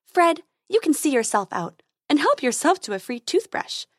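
A woman's voice: high-pitched, sing-song, moderate pace, dry recording, studio quality, voice pushed up. A woman voicing a kind, capable tooth fairy dentist in a storybook, with a light, polite manner. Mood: concerned. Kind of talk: storybook character voice.

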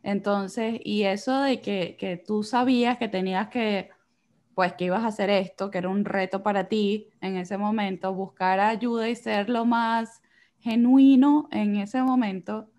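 A woman's voice: mid-range voice, fairly even intonation, unhurried, a little mellow. A woman interviewer responding to her guest with supportive, reflective remarks in a casual chat.

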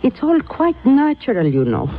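A woman playing a crafty, stern old sorceress and teasing mentor in a 1970s radio drama, speaking in grand, deliberate phrases. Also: sits high, huge pitch swings, slight background noise, heavily acted. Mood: patient, amused. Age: older. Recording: dull, lo-fi vintage radio recording.

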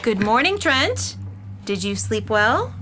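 A woman's voice: on the high side, very animated, slow, pushed and bright, slight background noise, heavily acted. A woman playing a caring, anxious middle-aged aunt in an audio drama, earnest and pleading. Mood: caring, coaxing.

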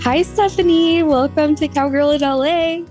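A woman's voice: high voice, steady tone, normal speed, a little mellow, soft background music. An American woman from rural Arizona hosting a podcast in a casual, gushing, conversational way. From rural Arizona, United States. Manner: enthusiastic, gushing. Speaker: podcast host.

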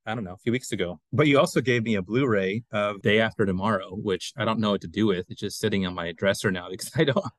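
A man's voice: fairly low pitch, fast, few pauses, no echo. A man in his forties co-hosting a podcast, talking in a laid-back, colloquial way. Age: 40s. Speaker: podcast co-host. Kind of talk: casual chat about pop culture.

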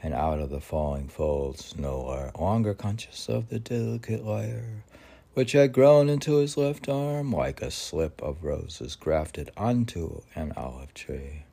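Male poetry reader, dark mellow tone, very slow pace, musing and conversational between recitation and offhand remarks. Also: very deep voice, expressive, creaky. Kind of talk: poetry reading with casual rambling asides.

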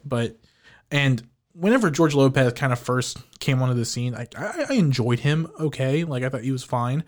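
A man co-hosting a podcast, chatting casually about a movie, animated and often restarting his sentences with a laugh. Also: mid-range voice, moderate pace. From Filipino heritage, living in the United States. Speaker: podcast co-host. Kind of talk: casual movie review chat.

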